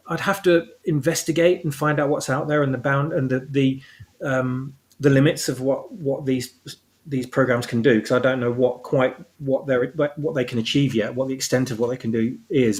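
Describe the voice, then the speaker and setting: A man with a medium-pitched voice talks casually as a guest about creative work. He speaks haltingly, circling back and rewording his phrases as he goes. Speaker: guest, audio drama creator. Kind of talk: casual discussion.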